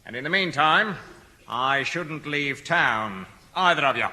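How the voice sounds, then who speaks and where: An actor playing an officious but patient police sergeant in an old radio sitcom, speaking formally. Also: male voice, on the high side, lively intonation, unhurried, heavy background noise. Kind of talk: 1970 radio sitcom acting. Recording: reverberant old radio broadcast.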